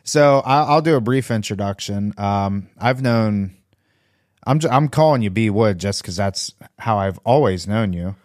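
A man's voice: lowish voice, animated, a little slow, no echo. A man hosting a casual interview chat on a close studio microphone. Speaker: podcast host. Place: small indoor podcast studio.

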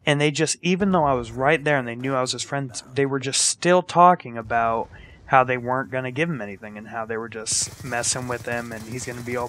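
A young man casually telling a personal story as a gaming commentary, acting out quotes and swearing freely. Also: medium pitch. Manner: animated retelling with acted-out quotes and casual swearing. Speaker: storyteller and gaming video creator. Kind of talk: casual storytelling over gameplay.

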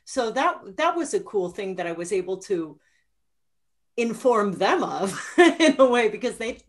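A woman's voice: mid-range voice, sing-song, measured pace. A woman in her forties, an author answering questions conversationally on a video call from home. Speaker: author guest. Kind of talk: conversational book-event Q&A. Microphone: video call computer mic.

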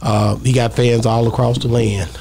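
A middle-aged man hosts the podcast from a studio mic, his voice gravelly and textured. He talks casually with a hype edge, over a faint room hum. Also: medium pitch, flat delivery, normal speed, warm tone. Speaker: podcast host. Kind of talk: casual show host chat. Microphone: studio mic with headphones.

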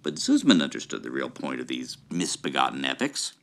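A man's voice: extremely low pitch, wildly swooping pitch, brisk, creaky, heavily acted. A man playing an enthusiastic, verbose B-movie buff who gives gleeful, pompous documentary-style commentary in an audio drama. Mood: gleeful, knowing.

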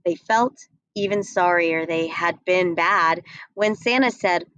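Slow, expressive young woman reading aloud. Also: fairly low pitch, dry recording, highs cut off. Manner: slow, expressive rhyming delivery. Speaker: storybook reader. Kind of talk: read-aloud storytelling. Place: small indoor room. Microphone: webcam at desk.

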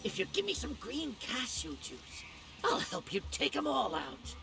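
A woman voicing a small, mischievous, scheming creature in a video game, theatrical and excitable. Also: high voice, measured pace, creaky, exaggerated voice. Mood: excited, scheming. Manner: gleeful, theatrical. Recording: game music behind voice.

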